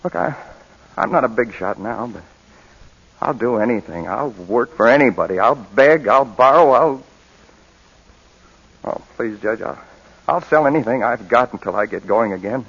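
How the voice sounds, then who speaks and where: An actor playing a humbled, loving young father who speaks in halting, emotional pleas in a 1940s radio drama. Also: mid-range voice, expressive, gravelly, a bit bright, slightly rough, heavily acted. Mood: desperate pleading. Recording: muffled old radio broadcast recording.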